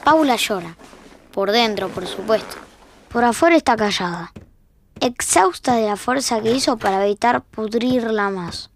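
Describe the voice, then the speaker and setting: A woman's voice: on the high side, animated, moderate pace, a little hiss or hum. A woman with a Rioplatense Argentine accent reading scripted narration for an audio fiction.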